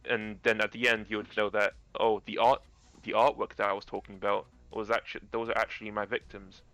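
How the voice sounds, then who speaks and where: A man with a naturally deep voice, a guest actor chatting casually about acting, rambling and restarting his sentences. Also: little pitch movement, brisk, fairly bright tone, no room sound.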